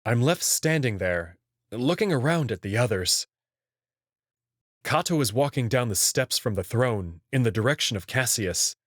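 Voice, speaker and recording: A man giving a measured, scripted first-person narration as he reads a visual novel. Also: mid-range voice, expressive, no echo, studio quality. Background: prominent music.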